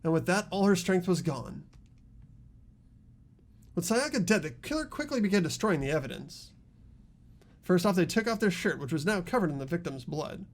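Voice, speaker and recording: A man voicing an earnest high school boy in a mystery game, explaining a case in a deliberate, dramatic way. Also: animated. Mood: grave, determined. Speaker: amateur voice actor in a game playthrough.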